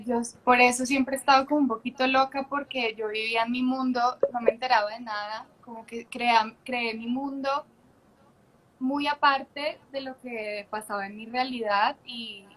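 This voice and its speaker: A woman's voice: high voice, fairly even intonation, moderate pace, a bit bright, poor audio. A young Colombian woman with a Colombian accent, a guest actress talking candidly on a video call and laughing often. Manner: chatty and candid, laughs often, many restarts. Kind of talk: casual interview chat.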